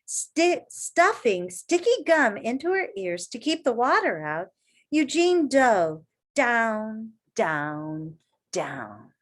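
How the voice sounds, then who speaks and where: A woman teacher reading a picture book aloud to children in an expressive way, pausing to ask her young listeners questions. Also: on the high side.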